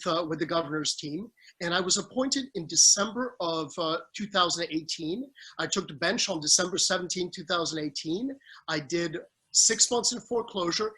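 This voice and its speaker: A middle-aged man from the Caribbean with a high, bright voice and a husky edge, answering questions over a video call. He talks earnestly, restarting sentences and leaning on fillers as he explains his work. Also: low-quality recording. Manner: earnest, warm toward family, speaks with restarts and fillers. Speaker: family law judge.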